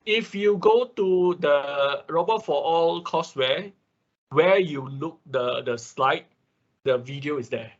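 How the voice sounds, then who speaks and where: A man's voice: fairly high pitch, slow pace, lo-fi sound. A man working as an instructor in an online class, answering questions in a hesitant way, often checking with short confirmations. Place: online class over a computer call.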